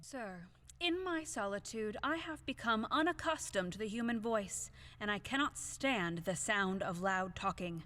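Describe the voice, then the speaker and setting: A woman's voice: medium pitch, animated, unhurried, sharp tone. An actress playing a proper, indignant young widowed lady in an audio play, formal, haughty and reproving. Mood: pleading, annoyed. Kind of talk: theatrical audio play acting. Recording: echoey hall-like reverb.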